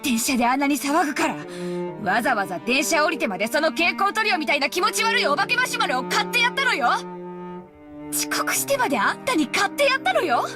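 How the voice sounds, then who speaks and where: An actress playing a harsh, short-tempered young mother with a sharp, clipped way of talking, in a visual novel game. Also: sits high, big pitch swings, very fast. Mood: furious, scolding. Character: harsh, short-tempered young mother scolding her nine-year-old child. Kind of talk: dramatic Japanese visual novel voice acting. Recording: studio voice over loud string music.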